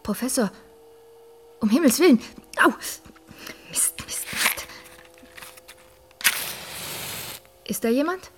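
An actress playing a bold young woman journalist in a radio thriller, often speaking in breathy, urgent tones. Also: sits high, expressive, takes it slowly, full character voice. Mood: uneasy, searching. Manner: breathy whispering to herself, urgent calling out. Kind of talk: German radio thriller acting.

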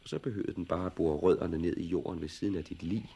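An actor playing a brooding, cynical plant expert in a 1960s radio drama, deliberate and matter-of-fact about grim things. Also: male voice, low-pitched, fairly even intonation, gravelly, mellow, a little hiss or hum. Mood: brooding, ominous.

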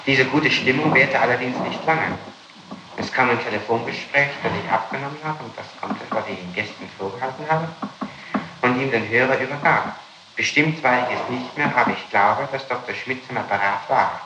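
A man speaks as a public prosecutor in a formal interrogation. His phrasing is official and somewhat halting, with a mid-pitched voice. It is an archival tape with clear noise and a strongly echoing room. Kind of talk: formal interrogation, reading out statements.

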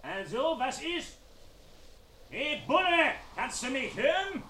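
An actor playing a taunting, menacing criminal who jeers and goads theatrically in a 1970s radio crime drama. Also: male voice, very high-pitched, very animated, takes it slowly, sharp tone, full character voice. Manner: jeering, goading, theatrical. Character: taunting male criminal, mocking and menacing, enjoying his power. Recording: roomy, distant 1970s radio drama, faint noise.

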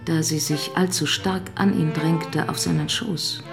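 Eine Frau erzählt als Sprecherin eines literarischen Hörspiels, gemessen und bedacht. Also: female voice, low-pitched, steady tone, measured pace, creaky, music in the background. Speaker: narrator. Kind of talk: literary narration in a radio play.

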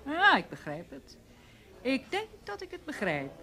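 Animated, flustered newspaper woman. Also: high-pitched, a little slow, heavily acted. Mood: puzzled, then shrewd. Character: eager, evasive woman newspaper journalist, Mrs Soeteman. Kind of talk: Dutch radio play acting.

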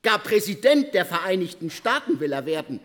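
A man's voice: high-pitched, very animated, a little fast, a bit bright. A man giving dramatic scripted radio narration with emphatic, theatrical phrasing, in a reverberant hall sound.

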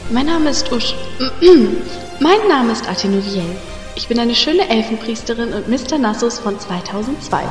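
An actress playing a vain, self-important elf priestess and leader in a parody audio drama. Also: female voice, fairly high pitch, lively intonation, a little slow, soft background music. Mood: vain, proud. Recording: echoey hall, distant from mic.

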